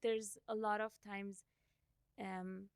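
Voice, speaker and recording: A young woman from India whose first language is Gujarati, talking reflectively as a podcast guest and thinking aloud with soft laughs. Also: mid-range voice, level tone, takes it slowly, clean tone, dry recording, studio quality. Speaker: podcast guest, company CEO. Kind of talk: casual interview conversation.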